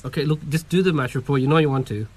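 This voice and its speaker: A man's voice: medium pitch, very fast. A young man co-hosting a casual football podcast, patiently reading out emails and quiz clues with mild exasperation. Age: young adult. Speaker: podcast co-host. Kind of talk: casual chat.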